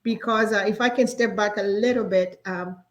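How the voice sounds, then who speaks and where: A woman's voice: medium pitch, steady tone, moderate pace, highs cut off. A woman in her forties with a Kenyan accent, telling her story as an interview guest in a polite, conversational way. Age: 40s. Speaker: interview guest, real estate agent.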